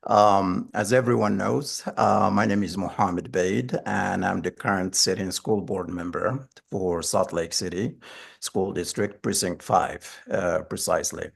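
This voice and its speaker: A man in his forties answers interview questions with an earnest, storytelling manner. His voice sits low and carries a gravelly edge throughout. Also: steady tone, unhurried. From Morocco. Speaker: school board candidate.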